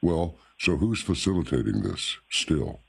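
Very deep gravelly male radio host. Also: sing-song, unhurried. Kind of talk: talk radio conversation.

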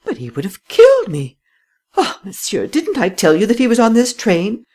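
A woman reading an audiobook voices a wealthy, theatrical lady who gasps out breathless, high exclamations. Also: huge pitch swings, normal speed, a little mellow. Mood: alarmed. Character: rich frightened lady, excitable and theatrical.

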